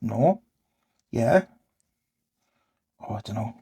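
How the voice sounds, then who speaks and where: Bloke thinking out loud while fixing stuff, bit husky, casual and unsure, short muttered bits. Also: male voice, lowish voice, animated, very slow. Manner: muttering to himself in short, tentative phrases. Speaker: host of a DIY repair channel. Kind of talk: casual hands-on repair commentary, thinking aloud.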